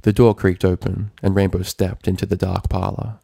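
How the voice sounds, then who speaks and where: A man's voice: low-pitched, level tone, normal speed, creaky, mellow, slightly rough, no room sound, clean studio sound. A man reading a story aloud as scripted narration. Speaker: fan fiction reader, narrator.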